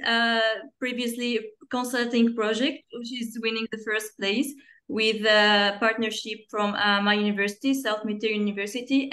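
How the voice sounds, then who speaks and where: A young woman from Tunisia introduces herself on a video call, halting and full of uhs. Her voice is bright and sharp. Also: mid-range voice. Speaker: master's student.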